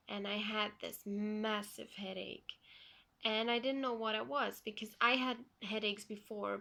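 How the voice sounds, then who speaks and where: A young woman from Belgium, a non-native English speaker, calmly retelling a personal story for a vlog with frequent pauses and ums. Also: medium pitch, creaky. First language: not English. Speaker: vlogger.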